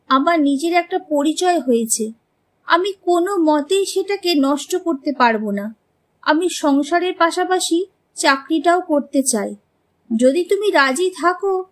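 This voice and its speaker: Cartoon young bride, voiced by a woman: high-pitched, polite but proud, determined, earnest delivery. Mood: firm. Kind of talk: animated story dialogue.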